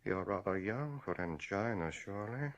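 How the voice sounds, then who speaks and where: An actor playing a grave German doctor in a radio drama, questioning in a deliberate, hesitant manner. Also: male voice, lowish voice, animated. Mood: grave concern. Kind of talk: 1980s radio drama acting.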